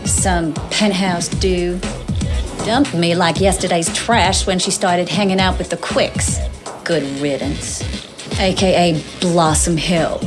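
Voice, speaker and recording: Brief: woman voicing languid, world-worn flirt in a game bar, unhurried, music behind. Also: lowish voice, lightly acted. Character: jaded flirtatious female barfly, bitter, streetwise. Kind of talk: video game cutscene acting.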